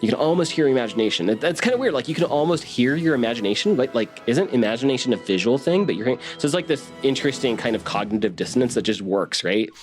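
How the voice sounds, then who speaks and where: A man's voice: mid-range voice, expressive, fast talker, hardly any pauses, over music. A man talking conversationally in an interview as a producer, often checking in with short tag questions.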